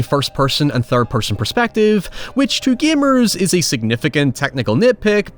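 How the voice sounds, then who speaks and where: A man hosting a film review show, reading scripted narration with casual asides in a wry, conversational manner. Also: fairly high pitch.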